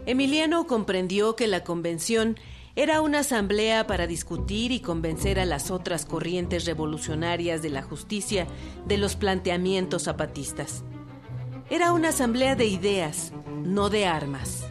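Narradora, voz de tono medio, narración pausada, guitarra de fondo. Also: female voice, medium pitch, animated. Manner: measured, clear storytelling. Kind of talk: scripted radio narration. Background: guitar music.

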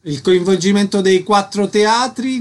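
A man's voice: high-pitched, a little slow. A man speaking as a radio interviewer, putting questions in a broadcast interview.

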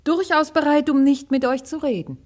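A woman's voice: high-pitched, normal speed, a little mellow, lightly acted. An actress playing a saucy, mocking lady-in-waiting in a classic radio drama, pert and teasing.

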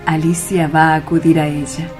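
A woman's voice: sits low, flat delivery, moderate pace, a little mellow. A woman narrating stage directions for a radio play in a scripted reading style. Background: music.

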